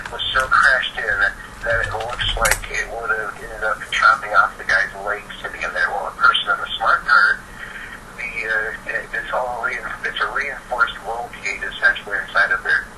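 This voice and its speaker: A young man chatting casually and explaining his opinions eagerly. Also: mid-range voice. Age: teens or young adult. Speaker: participant in a phone chat. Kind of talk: casual chat. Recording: noisy, distant phone-call recording.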